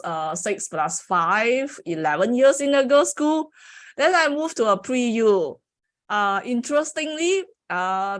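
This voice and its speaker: A Malaysian woman whose first language is Mandarin, an engineering lecturer presenting her career story with slides, in a hesitant way with frequent fillers. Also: mid-range voice.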